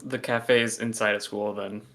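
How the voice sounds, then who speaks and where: A man's voice: lowish voice, little pitch movement, moderate pace, bright, forceful tone. A man chatting casually as a judge on a group livestream, giggly and a bit hesitant. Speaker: guest judge on a fanfiction contest stream.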